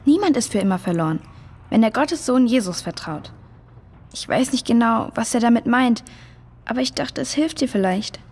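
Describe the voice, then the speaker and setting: A practical, caring young girl, acted by a woman, in an audio play. Her voice is fairly high and a bit husky, ringing in a long cave reverb. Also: animated, normal speed, a little mellow. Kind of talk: children's audio drama acting.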